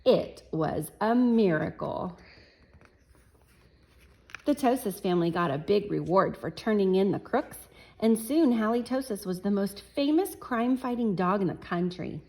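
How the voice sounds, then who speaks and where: A woman teacher reading a picture book aloud to children, animated and chuckling, pausing to talk to her class. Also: mid-range voice. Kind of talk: children's read-aloud storytime.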